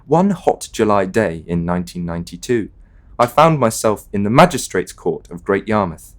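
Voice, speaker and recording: A man reads a scripted literary essay as narration, with measured, deliberate phrasing. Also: medium pitch. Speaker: reader of an essay.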